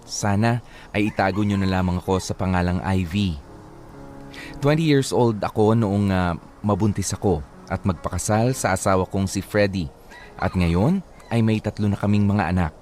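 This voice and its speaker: Male radio host, low-ish voice, playful banter and letter reading. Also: a little mellow. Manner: jokey, laughs easily.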